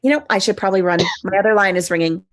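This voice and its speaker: A woman's voice: mid-range voice, rapid-fire, dry recording, natural voice. A woman playing a spirited, self-doubting woman in a comedy script read, in a natural conversational manner. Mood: uneasy. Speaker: actor in an online script table read. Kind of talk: live comedy screenplay table read.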